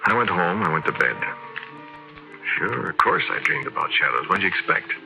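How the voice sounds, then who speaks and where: A haunted narrator in an old radio horror drama. He confides in a low, edgy, conversational voice over prominent music. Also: big pitch swings, normal speed, gravelly, sharp tone, full character voice, low-quality recording. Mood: brooding, confiding. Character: haunted, shaken man confiding his eerie story. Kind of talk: 1940s radio drama first-person narration.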